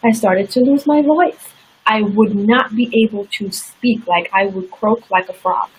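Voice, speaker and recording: A woman with a slightly husky, breathy voice talks through her life story as an interview guest. Her telling is candid and earnest, and her pitch sits in an ordinary middle range. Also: measured pace, rough recording. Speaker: interview guest, success coach.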